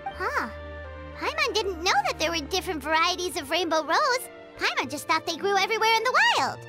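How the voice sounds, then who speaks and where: A little floating fairy girl for a video game. The voice is squeaky, childlike and extremely high, bouncing excitably across a wide range. Clear music plays underneath. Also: a little fast. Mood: curious, surprised. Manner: speaks of herself in third person, exclamatory. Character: tiny childlike female fairy companion, excitable and curious. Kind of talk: cartoonish video game voice acting. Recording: loud music behind the voice.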